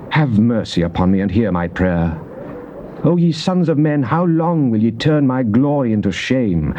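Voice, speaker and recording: An actor playing a devout psalmist in a dramatized scripture reading, with a British accent and solemn, declamatory, measured phrasing. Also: male voice, on the low side, warm tone. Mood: solemn, trusting. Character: devout psalmist pleading and praising God. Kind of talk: dramatized scripture reading, classical stage delivery. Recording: dull, noisy old cassette tape.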